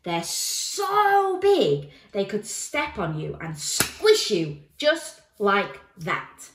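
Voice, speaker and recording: A woman's voice: mid-range voice, big pitch swings, extremely slow, reverberant, noisy background, exaggerated voice. A woman voicing a frightened, earnest small boy in a children's storybook, speaking with sniffly drama. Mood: dramatic warning. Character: lost, frightened small boy, glum and exaggerating.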